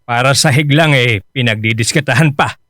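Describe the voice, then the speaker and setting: A man's voice: medium pitch, normal speed, pushed and bright, no echo, studio quality, close to an everyday voice. An actor playing a middle-aged Filipino father in a radio drama, colloquial, grumbling and evasive. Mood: grumbling, casual. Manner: grumbling, colloquial, hesitant when cornered.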